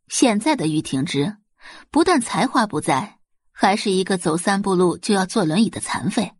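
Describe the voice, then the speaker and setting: A woman's voice: mid-range voice, animated, normal speed, husky, dry recording, studio quality. A woman narrating an audiobook in a dramatic, scripted storytelling style.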